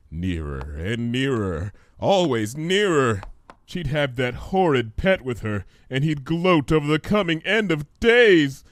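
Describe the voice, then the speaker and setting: A man reading a scripted story aloud as an expressive narrator. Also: medium pitch. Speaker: fan fiction reader, narrator.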